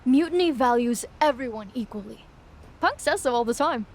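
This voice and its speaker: High-voiced, naive young woman wrestler. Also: expressive, moderate pace, darkish tone. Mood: eager, trusting. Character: naive, eager young woman wrestler, loyal faction devotee. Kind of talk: video game cutscene dialogue.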